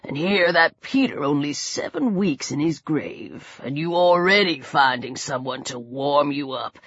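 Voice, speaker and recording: Woman voicing a low, drawling, menacing older thug. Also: animated, fairly bright tone, slightly rough, no echo, highs cut off, full character voice. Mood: lewd, taunting. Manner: snarling, slow drawling. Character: menacing older bearded man, gruff thug, lecherous bully.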